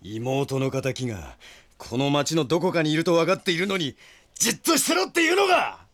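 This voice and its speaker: A man's voice: fairly high pitch, sing-song, moderate pace, a bit bright. An actor playing a proud, hot-headed young man bent on revenge in an audio drama, brash and defiant. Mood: vengeful anguish. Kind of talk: Japanese anime-style audio drama acting.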